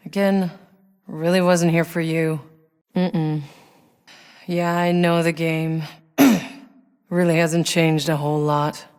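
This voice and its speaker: Tough, low-voiced sardonic female mercenary. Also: flat delivery, takes it slowly, pauses often, a little mellow, clean tone, dry recording. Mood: dry, unimpressed. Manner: dry, sarcastic, blunt. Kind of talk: video game character voice lines.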